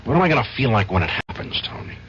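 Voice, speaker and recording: A man's voice: medium pitch, sing-song, rapid-fire, fairly bright tone. Bitter, brooding drunk man, swinging intonation. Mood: despairing. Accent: American. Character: troubled, hard-drinking lawyer, guilt-ridden and bitter. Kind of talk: old-time radio drama acting. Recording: dull, faintly noisy old radio recording.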